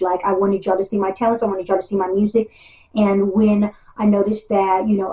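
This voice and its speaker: A woman's voice: mid-range voice, mellow. A woman talking casually and candidly to viewers in frank slang, on dull lo-fi clip audio. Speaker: reality show cast member.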